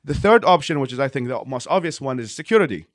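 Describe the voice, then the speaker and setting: A man from Iraq speaks as an in an interview. He talks quickly and at length, reworking his sentences as he explains. The sound is close and dry, with no room around it. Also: medium pitch, animated, dry recording. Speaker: interview guest, nonprofit leader.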